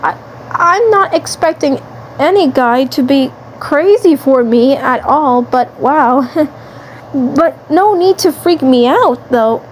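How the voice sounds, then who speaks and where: A woman's voice: high-pitched, animated, slow, slight background noise, voice pushed up. A woman voicing a cheeky, flustered captive girl in a cartoon fan drama, slangy and incredulous.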